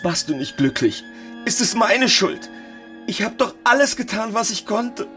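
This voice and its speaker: A desperate husband, swooping in pitch. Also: male voice, big pitch swings, unhurried, pushed and bright, soft background music, heavily acted. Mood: pleading, grieving. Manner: pleading, agitated, repeating himself. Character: desperate, devoted husband, helpless and panicking. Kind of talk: literary radio drama acting.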